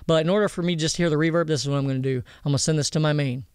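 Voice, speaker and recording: Man, higher-pitched, crisp voice, quick pace, casual tutorial, direct explanations. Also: fairly even intonation, a little mellow, no room sound, studio quality. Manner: informal, explanatory, addresses viewers directly. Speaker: audio mixing instructor. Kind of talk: casual screen-recorded software tutorial. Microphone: close voice-over mic over screen recording.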